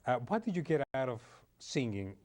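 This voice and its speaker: A man's voice: mid-range voice, sing-song, moderate pace, a little mellow. A man interviewing guests on a TV show, laughing often and restarting his questions. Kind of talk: casual interview.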